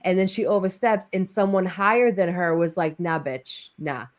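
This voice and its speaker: A woman with a Boston accent co-hosting a live stream over a video call, talking in a brash, profane, animated way. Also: on the low side, normal speed. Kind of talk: casual chat.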